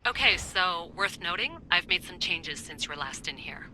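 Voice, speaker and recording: A woman's voice: on the low side, animated, moderate pace, sharp tone, slight background noise, low-quality recording. A woman playing a supportive, slightly skeptical technical assistant in naturalistic video game acting. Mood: helpful.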